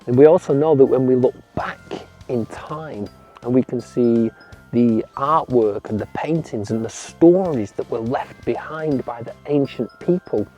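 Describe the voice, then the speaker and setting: Slow, gentle male storyteller. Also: mid-range voice, husky. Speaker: children's storyteller. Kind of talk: storytelling for children.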